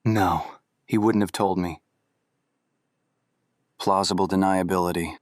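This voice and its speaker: An actor playing a guarded, weary man in a noir audio drama, speaking in terse, measured, ominous lines. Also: low-pitched, steady tone, no echo, studio quality. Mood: grave, resigned. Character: guarded, weary man warning two investigators.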